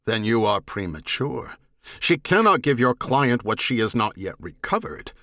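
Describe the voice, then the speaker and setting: A man's voice: mid-range voice, very animated, normal speed, pushed and bright, dry recording, clean studio sound. A man voicing a stern, imperious alien warrior lord, commanding, terse and suspicious, in a science fiction audiobook. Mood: challenging.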